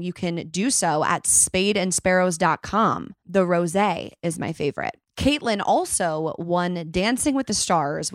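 A young woman hosts her podcast with a bubbly, upbeat energy and a slight rasp in her voice. She is recorded close on a very dry microphone, with no room sound at all. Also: fairly low pitch, no room sound. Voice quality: slightly raspy today. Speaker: podcast host. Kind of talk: casual chat and scripted intro.

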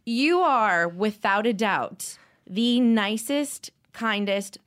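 A woman in her thirties hosts a podcast, chatting casually with her guest. She gushes and swears freely, and her voice sits at an ordinary middle pitch. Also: expressive, slow pace, fairly bright tone. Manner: swearing, gushing, laughing often. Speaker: podcast host. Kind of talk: casual chat.

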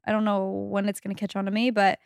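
A young woman co-hosting a podcast, chatting casually with quick reactions. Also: medium pitch, flat delivery, darkish tone, smooth, no echo. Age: young adult. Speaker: podcast co-host. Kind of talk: casual chat.

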